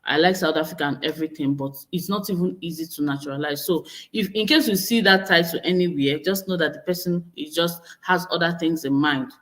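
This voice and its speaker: A woman's voice: sits low, a little fast, hardly any pauses. Chatty Nigerian woman vlogger. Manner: chatty, repeats and corrects herself, reads viewer comments aloud. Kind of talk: casual talk to camera.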